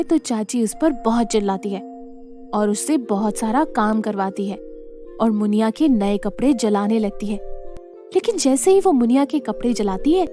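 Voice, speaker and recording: A woman's voice: on the high side, warm tone. A woman narrating a scripted children's moral story as the storyteller.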